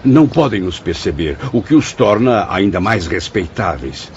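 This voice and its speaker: Weary, courteous spirit, swooping pitch. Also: male voice, very animated, moderate pace, a little mellow, slight background noise. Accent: Brazilian. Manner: hesitant, formal, literary. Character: weary, courteous, patient male spirit of a suicide writer. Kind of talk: radio drama acting. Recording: dull studio audio, faint music behind.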